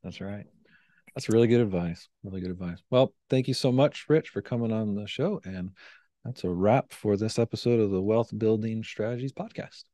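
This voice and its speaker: A man's voice: on the low side, normal speed, mellow, dry recording. A man in his thirties hosting a podcast, affirming his guest politely and asking short questions into a studio mic. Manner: brief affirming interjections, polite. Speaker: podcast host. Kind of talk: interview hosting. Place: small studio with neon-lit backdrop.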